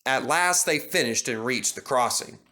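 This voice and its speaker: Male storyteller, bright, animated, reads a children's railway story. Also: medium pitch, moderate pace. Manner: lively, expressive. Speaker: narrator. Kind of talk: storybook reading.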